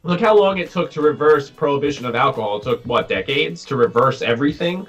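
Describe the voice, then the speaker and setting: A man cohosting a casual podcast, speaking in a relaxed, measured way with hesitant pauses. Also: a little high. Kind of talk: casual chat.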